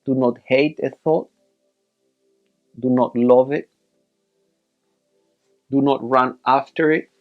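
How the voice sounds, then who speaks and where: A man leading a guided meditation as a teacher, giving measured instructions in short, separated phrases. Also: medium pitch, fairly even intonation, narrow, tinny audio, soft background music.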